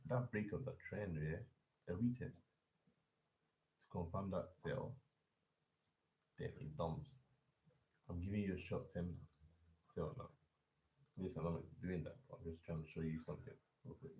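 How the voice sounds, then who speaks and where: A man's voice: sits low, measured pace, long pauses, mellow. A man live-streaming a forex chart breakdown and talking loosely to his chat, with restarts and repeats. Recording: echoey room.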